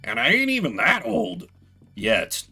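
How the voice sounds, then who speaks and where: Animated man chatting over game music. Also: high-pitched, measured pace, gravelly, husky. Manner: rambling, self-deprecating, playful asides. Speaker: game streamer. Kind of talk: casual live gameplay commentary and chat.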